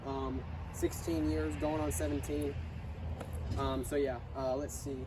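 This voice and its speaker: A man's voice: on the high side, flat delivery, measured pace, noisy background. Congested young man rambling outdoors. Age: young adult. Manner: hesitant, many fillers and restarts. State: congested, sick or allergies. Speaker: YouTuber reviewing his lawn mower. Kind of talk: casual rambling product review.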